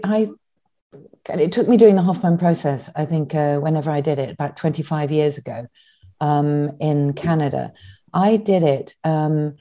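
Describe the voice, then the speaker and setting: A woman's voice: sits low, mellow. A woman in her sixties, a podcast guest who talks about her past in a reflective way, with many restarts and ums. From England. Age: 60s. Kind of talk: conversational interview.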